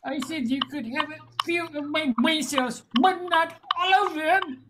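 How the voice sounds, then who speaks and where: A bewildered husband acted by a woman in a radio drama, with a high-pitched voice. The delivery is slow and hesitant, with stammered starts and stretched words. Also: heavy background noise. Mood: alarmed. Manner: halting, stammering, drawn-out words. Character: befuddled, hesitant husband losing his brain cells. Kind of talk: radio play acting.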